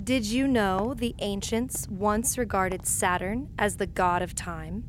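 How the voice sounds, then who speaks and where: Woman playing a slow, pompous royal villainess. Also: medium pitch, a little hiss or hum, natural voice. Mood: grandly preaching. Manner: pompous, deliberate monologuing. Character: haughty, preening royal villainess, zealous and cruel. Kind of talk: scripted sci-fi audio drama acting.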